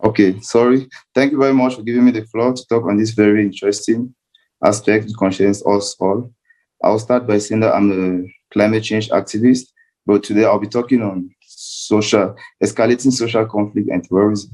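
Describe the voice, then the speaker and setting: A man's voice: fairly low pitch, steady tone, moderate pace, a little mellow, rough recording. A young man with a Cameroonian accent giving remarks as a guest speaker on a discussion panel. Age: young adult. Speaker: guest speaker, student climate activist. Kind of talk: prepared remarks on a video panel.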